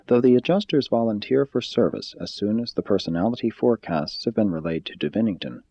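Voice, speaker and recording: A man with a low, mellow voice reads formal spiritual prose like an audiobook narrator. His delivery is measured and solemn, with a slightly husky grain. The recording sounds a little dull. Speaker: audiobook-style reader. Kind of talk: formal scripted reading of a spiritual text.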